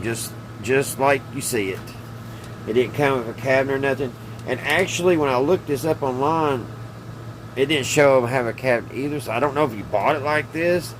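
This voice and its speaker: A man hosting a casual hobby workbench video, rambling and hesitant as he thinks aloud. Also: mid-range voice. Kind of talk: casual workbench vlog about old radios. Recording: clearly noisy room, steady hum.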